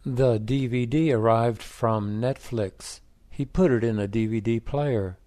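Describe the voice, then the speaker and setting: Male narrator for learner stories, mid pitch, close dry mic. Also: unhurried, warm tone, dry recording. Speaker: story reader. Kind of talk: scripted narration of short stories.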